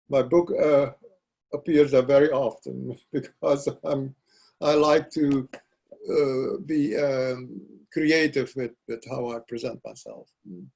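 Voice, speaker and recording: An older man whose first language is Dutch, a poet being interviewed, speaking reflectively and pausing often to search for words. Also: medium pitch, creaky, a little mellow, poor audio. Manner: halting, searching for words, frequent restarts. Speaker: poet guest.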